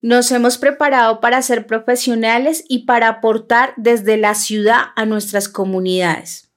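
A woman's voice: fairly high pitch, fairly even intonation, normal speed. A woman in her thirties with a Bogotá Colombian accent, a native Huitoto speaker, narrating a scripted personal audio documentary. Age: 30s. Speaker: narrator and host.

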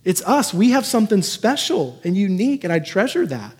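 A man's voice: high-pitched, big pitch swings, brisk, a little mellow, breathy edge. A man preaching as a pastor in a conversational sermon, earnest and given to emphatic repetition. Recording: echoey hall with long reverb.

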